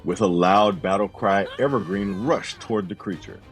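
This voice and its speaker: A man's voice: on the low side, normal speed, faint music behind. A man giving dramatic, scripted narration for a fantasy audio drama.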